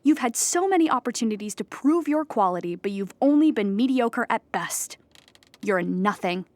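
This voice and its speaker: An actress playing an anxious, earnest, depressed clown in a theatrical audio drama monologue, explaining with dramatic emphasis. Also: female voice, fairly high pitch, expressive, moderate pace, a little mellow, natural voice. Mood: bleak, self-berating.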